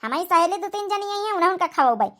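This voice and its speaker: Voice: extremely high pitch, animated, very fast, fairly bright tone. A performer voicing a bossy, scolding older village mother-in-law in an Awadhi comedy cartoon, domineering and sarcastic. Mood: stern, scolding. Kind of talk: rustic comedy cartoon dialogue.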